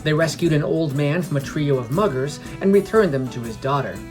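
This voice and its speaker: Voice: medium pitch, a little fast. A podcast narrator reading a scripted fantasy story. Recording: music under the voice. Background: background music.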